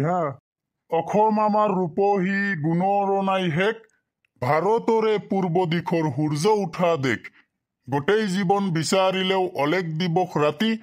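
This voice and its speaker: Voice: medium pitch, lightly acted. A performer voicing a strict male village schoolteacher in a cartoon, instructing pupils in colloquial speech. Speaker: teacher. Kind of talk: cartoon classroom lesson, recitation.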